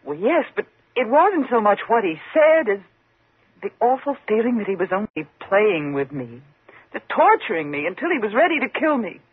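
Frightened, husky radio-thriller woman. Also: mid-range voice, very animated, normal speed, no room sound. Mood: haunted, dread. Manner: tense, dramatic, faltering when flustered. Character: frightened single woman haunted by a murderer. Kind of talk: 1950s radio suspense acting. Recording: muffled 1950s radio drama recording.

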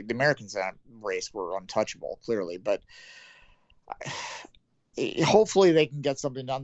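A man co-hosting a sports podcast, talking thoughtfully with many halting restarts and fillers. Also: lowish voice, dry recording. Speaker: podcast co-host, sports analyst. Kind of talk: casual sports chat. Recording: dull, dry lo-fi mic.

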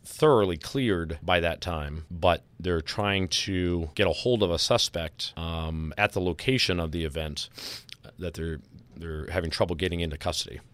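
Male podcast host, low register, serious and measured analysis, recorded dry. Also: fairly bright tone, dry recording. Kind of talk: analytical discussion of audio clips.

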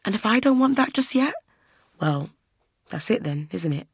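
A woman voicing a hurt young man who pleads with his secret lover, quiet, sad and blunt. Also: high-pitched, steady tone, fast, husky, no room sound, voice pushed up. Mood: quietly final. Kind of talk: audiobook narration.

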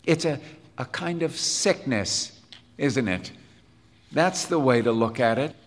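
A man voicing an earnest, well-meaning, idealistic music teacher in an audiobook, gentle and a little rhapsodic. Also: medium pitch, lively intonation, takes it slowly, long reverb tail. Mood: troubled. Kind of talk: audiobook character dialogue.

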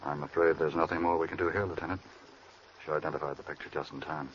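An actor playing a sober, earnest FBI agent in a 1940s radio crime drama. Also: male voice, rumbling low, steady tone, quick pace. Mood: grave. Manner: earnest, grave. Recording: noisy muffled old radio recording.

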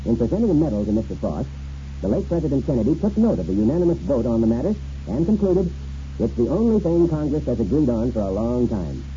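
A man's voice: medium pitch, very animated, fast talker, warm tone. A man narrating scripted anecdotes as a radio feature. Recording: muffled, faintly noisy old radio recording.

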